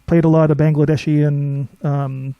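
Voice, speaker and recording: A man in a band being interviewed casually, deadpan and hesitant. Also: on the high side, normal speed, gravelly, clear, resonant tone, no echo, dull audio.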